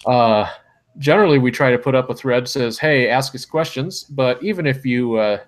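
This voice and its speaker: A man's voice: medium pitch, moderate pace. A man in his thirties hosting a casual podcast, upbeat and a little rambling, speaking into a headset mic in a small room at home. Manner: upbeat, rambling, filler-heavy. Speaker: podcast host.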